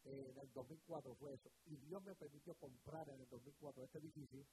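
A middle-aged man from Rico, a radio pastor preaching fervently, repeating words and drawing out key phrases. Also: medium pitch. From Puerto Rico. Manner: fervent preaching with repetitions and drawn-out emphasis. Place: radio studio. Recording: hissy broadcast recording.

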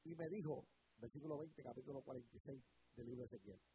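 A middle-aged man from Rico, a radio pastor preaching fervently, repeating words and drawing out key phrases. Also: medium pitch. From Puerto Rico. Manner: fervent preaching with repetitions and drawn-out emphasis. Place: radio studio. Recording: hissy broadcast recording.

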